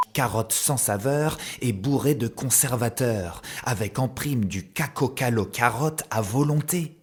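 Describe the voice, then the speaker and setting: A narrator telling a children's cartoon story in a theatrical, mock-epic way. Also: medium pitch. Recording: loud guitar music behind the voice. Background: plucked guitar music.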